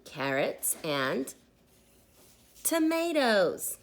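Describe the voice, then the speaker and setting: A woman's voice: mid-range voice, very animated, very slow, heavily acted. A woman voicing a grumpy, demanding male storybook character who speaks in a curt, scolding way.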